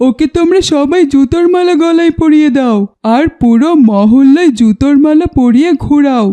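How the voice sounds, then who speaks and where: Casting: female actor as bossy indignant cartoon youngster, high but mellow voice, scolding. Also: normal speed, close to an everyday voice. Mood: stern, indignant. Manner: scolding, commanding. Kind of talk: cartoon voice acting.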